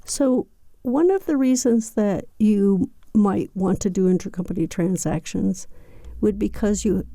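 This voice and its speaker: A woman giving an unscripted, step-by-step software walkthrough in a methodical, explanatory manner. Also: medium pitch, measured pace, dry recording. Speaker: software tutorial presenter.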